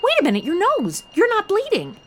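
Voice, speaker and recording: A woman's voice: very high voice, huge pitch swings, a little fast, over music, full character voice. A woman playing a brash, impulsive modern American sidekick in a comedy audio drama, slangy, blunt and sarcastic. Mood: incredulous.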